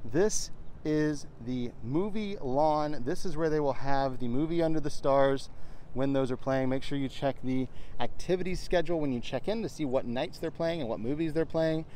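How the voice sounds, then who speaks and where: A man narrating a walking tour of a resort in a steady, informative guide manner, filmed on a handheld camera as he walks. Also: on the high side, moderate pace, a little hiss or hum. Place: outdoors and inside a resort, walking.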